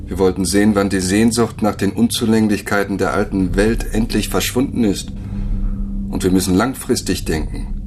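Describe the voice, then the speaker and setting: An actor playing a cold, patient overseer scientist of a virtual world in a German radio drama, lecturing in a measured, condescending way. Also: male voice, fairly low pitch, fairly even intonation, background noise, lightly acted. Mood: coldly explanatory.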